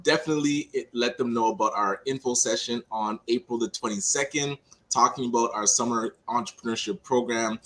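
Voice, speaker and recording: A man with a hoarse, raspy voice chats casually as a guest on an online show. His voice sits at an average pitch. He talks in a relaxed, friendly way and laughs easily. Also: low-quality recording. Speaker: entrepreneur guest. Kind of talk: casual chat.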